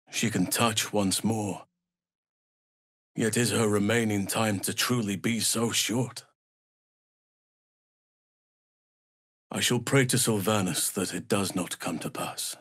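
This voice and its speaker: An actor playing a gentle, solemn druid healer in a fantasy video game, speaking in a measured, earnest, formal way. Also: male voice, fairly low pitch, slightly rough, dry recording, studio quality. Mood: solemn, concerned.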